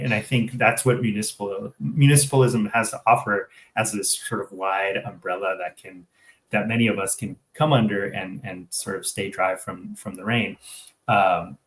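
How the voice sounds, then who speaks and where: A man's voice: on the low side, reverberant. A man co-hosting a casual local discussion show, talking reflectively and often checking in with "right?". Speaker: co-host of a local civic affairs show.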